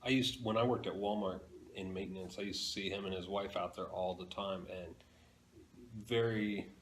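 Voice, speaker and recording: A man's voice: deep voice, fairly even intonation, moderate pace. A man in casual conversation as a comic book fan, with some room noise around him. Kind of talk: casual chat. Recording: noisy room ambience.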